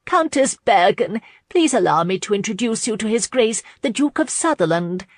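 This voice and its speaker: A woman's voice: mid-range voice, lively intonation, moderate pace, no echo, clean studio sound, voice pushed up, natural voice. A woman voicing a chirpy, meddling society hostess with formal, effusive manners in an audiobook. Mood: chirpy, eager.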